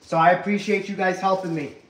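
Chatty young man with a high voice. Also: fairly even intonation, brisk, poor audio. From Hungary. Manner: chatty, reads viewer comments aloud, says guys often. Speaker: livestream host. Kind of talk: casual livestream chat. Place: small house under renovation, indoors. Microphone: phone held at arm's length.

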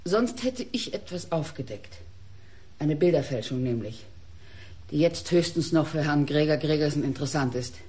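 An actress playing a haughty, accusing wealthy widow in a German radio crime drama. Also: female voice, sits low, expressive, normal speed, dark tone. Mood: cold, proud.

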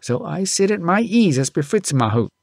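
Man playing a scolding father, pitched high. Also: big pitch swings, brisk, gravelly, darkish tone, no echo, clean studio sound, exaggerated voice. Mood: indignant scolding. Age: middle-aged. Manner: indignant, archaic thee-and-thou speech. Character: scolding, grumbling older Indian elephant-driver father, proud. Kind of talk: audiobook character voice.

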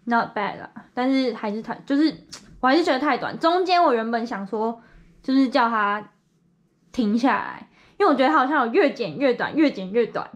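A young woman with a high voice chats casually to a camera held at arm's length. She asks direct questions and gives her honest opinion plainly. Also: lively intonation, brisk, lo-fi sound. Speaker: co-host. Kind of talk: casual chat. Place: small indoor room.

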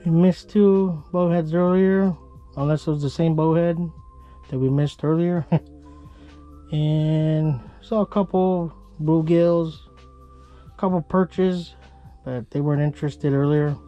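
A man from Minnesota giving relaxed, chuckling commentary while ice fishing inside a small shelter, picked up by an action camera. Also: on the high side, slow pace, muffled recording, soft background music. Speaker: fishing vlogger.

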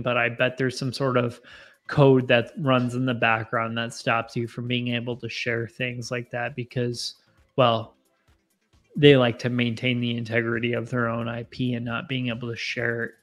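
Young male livestream host, rambling and self-deprecating, close boom mic, faint music underneath. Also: little pitch movement, normal speed, fairly bright tone. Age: 20s. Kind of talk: casual live chat with viewers. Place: home bar with bottle shelves, indoors. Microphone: boom mic in front of face.

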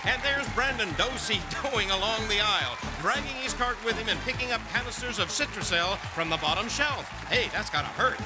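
Man, American accent, game show announcer, high excited voice, punchy playful play-by-play, loud music underneath. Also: fairly quick, few pauses, low-quality recording.

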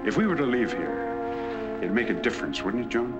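An actor playing a middle-aged husband who is earnest and persuasive, in 1940s film style. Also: male voice, mid-range voice, wildly swooping pitch, normal speed. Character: wealthy husband, earnest, possessive. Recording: 1940s film soundtrack with music.

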